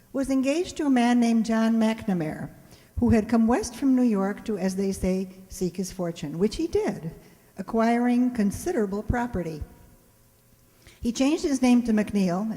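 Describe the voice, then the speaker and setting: A woman's voice: on the high side, warm tone. A woman presenter giving a prepared introduction to a stage performance. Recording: reverberant hall.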